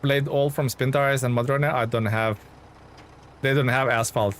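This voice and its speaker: A man's voice: medium pitch, fairly even intonation, normal speed. Man streaming casually over engine noise. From Lithuania. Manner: friendly, informal, thinks aloud. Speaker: video game livestreamer. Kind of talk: casual gaming stream chat and commentary. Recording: clear vehicle and engine noise behind. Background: truck game sounds.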